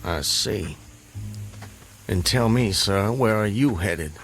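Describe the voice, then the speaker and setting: A man's voice: on the low side, slow, fairly bright tone, faint music behind. An actor playing a bewildered, wary, courteous man on the run in a radio drama, speaking hesitantly and formally. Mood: wary, polite.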